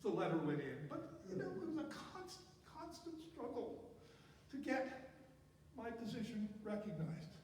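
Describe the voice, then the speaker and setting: A man's voice: very high-pitched, huge pitch swings, a little slow, darkish tone. An older man telling personal career stories to an audience in an emphatic way, often repeating words for effect. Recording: very echoey hall, distant mic, faint noise.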